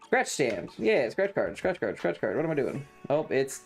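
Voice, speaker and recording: A man gives casual, sarcastic game commentary in a mid-pitched voice that swings widely. He sounds exasperated and dismissive as he reads and chats, with game music behind him. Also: big pitch swings, normal speed, creaky. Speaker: live streamer. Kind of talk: casual chatty gameplay commentary while reading game text.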